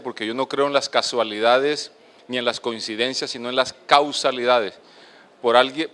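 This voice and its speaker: A middle-aged man from the Colombian Caribbean coast, with a coastal accent mixed with Medellín's, giving a halting lecture to an auditorium with many fillers. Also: medium pitch, fairly bright tone, clean tone. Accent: Colombian, coastal mixed with Medellín paisa. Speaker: speaker at a lecture. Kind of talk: lecture to an audience.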